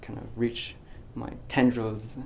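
A young man in his twenties, a graduating physics student, talking in a casual interview, soft-spoken and hesitant with many ums and restarts. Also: medium pitch, little pitch movement, slow pace, vocal fry, a little hiss or hum. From United States. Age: 20s.

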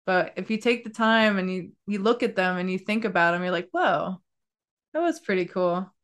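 A woman hosting a podcast, with a voice at an ordinary middle pitch. She is upbeat and full of praise, laughs often, and keeps the chat casual and encouraging. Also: normal speed. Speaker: podcast host.

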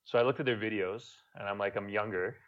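A man's voice: lowish voice, steady tone, fast talker, lo-fi sound. A young man from Montreal with a French Quebec accent, casually telling stories as a guest and swearing now and then. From Montreal, Canada. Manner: laid-back storytelling, swears casually. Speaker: guest, YouTuber. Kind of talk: casual podcast chat.

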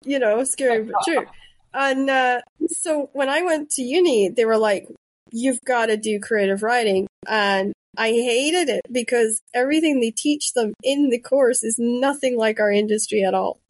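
A woman's voice: fairly high pitch, moderate pace, no room sound. A woman in her thirties, a podcast host and author, chatting casually and telling stories with quoted asides. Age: 30s. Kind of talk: casual conversation.

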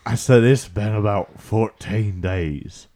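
A man acts out a folksy bayou character with a lowish voice and a slow Louisiana drawl. He is pushy and easily aggrieved, an oddball straight out of an improvised comedy interview. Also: dark tone. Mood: indignant, insistent.

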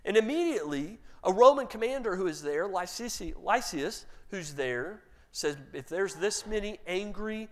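Guy pastor telling a bible story, fairly high voice, acts out the lines. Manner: animated retelling, quotes characters in his own voice. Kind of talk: sermon storytelling.

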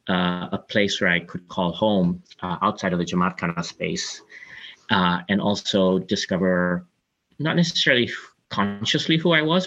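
A man in his forties from Kenya answers interview questions in a chatty, reflective way, often pausing on fillers. His voice sits very low yet comes across forceful and bright. The recording is slightly dull and lo-fi. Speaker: interview guest.

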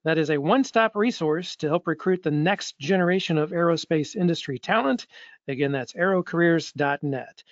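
A podcast host with a fairly high voice reads announcements and closes the show in an upbeat, promotional tone. The recording is dry and a little dull. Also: male voice, normal speed, dry recording. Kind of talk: podcast hosting and sign-off announcements. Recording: dry, slightly dull audio.

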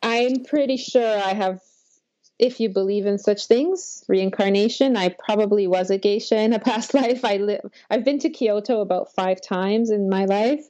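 A woman's voice: medium pitch, moderate pace, mellow, dry recording, muffled recording. Polish-born woman, Polish first language, guest telling her life story; meandering and reflective, dry close sound. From Poland. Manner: rambling, reflective, frequent "like". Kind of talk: personal life story in an interview.

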